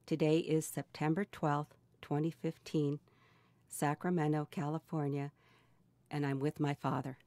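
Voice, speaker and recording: A woman in her sixties interviewing her father, asking gentle, measured questions. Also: low-pitched, flat delivery, no room sound. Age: 60s. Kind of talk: oral history interview.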